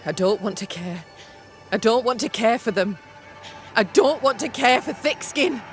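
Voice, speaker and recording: A woman's voice: on the high side, animated, moderate pace, faint music behind, natural voice. A woman playing a troubled, defiant runaway from a colonial settlement in emotional dialogue. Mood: anguished.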